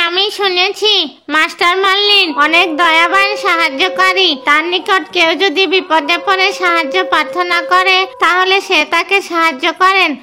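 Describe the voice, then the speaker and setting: Squeaky, excitable little cartoon girl. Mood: admiring. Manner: chatty, questioning, excitable. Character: eager, easily frightened young girl who dreams of magic. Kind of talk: Bengali cartoon voice acting.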